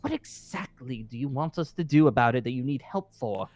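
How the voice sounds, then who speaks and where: A man's voice: medium pitch, lively intonation, brisk, a little mellow, a little hiss or hum, natural voice. A man playing a polite, centuries-old dragon slayer with a young body, speaking formally and wordily in a fantasy game roleplay. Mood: measured, questioning. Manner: formal, wordy, hesitant.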